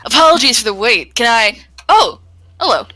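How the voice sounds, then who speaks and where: A woman's voice: fairly high pitch, normal speed. A woman reading a game character's lines aloud and reacting casually and readily with her fellow players. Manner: animated, reactive.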